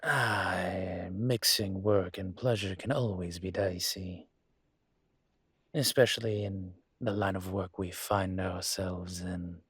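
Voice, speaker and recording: A man playing a suave, courteous dragon mafia boss in an intimate roleplay audio, with a husky, breathy voice and a gentle, teasing formality. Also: low voice, steady tone, slow pace, no room sound, studio quality, heavily acted. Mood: tender, flirtatious.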